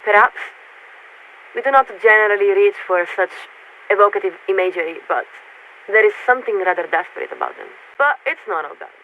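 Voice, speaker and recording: A woman playing a polite, detached warship officer in a video game, speaking formally and with measured hesitation through a radio filter. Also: medium pitch, expressive. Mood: wistful, detached. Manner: formal, measured, hesitant when searching for words. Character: polite, detached female warship crew officer surveying a city. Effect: military radio transmission filter. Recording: tinny narrowband radio with hiss.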